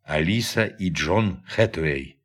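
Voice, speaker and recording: Sober spaceship crew member, low pitch, serious, precise, forties, radio play. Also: male voice, expressive, slow, a bit bright, husky, full character voice. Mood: serious, puzzled. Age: 40s. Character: sober, observant male spaceship crewman in forties. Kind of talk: Russian radio play acting.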